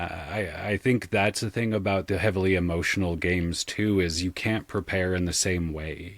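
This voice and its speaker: A man with a very deep voice talks in a dry, close recording with no room sound. He is a podcast guest, speaking casually and candidly, in a thoughtful, reflective way. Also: no echo. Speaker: podcast guest, audio drama sound designer and game master. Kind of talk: casual, reflective interview talk.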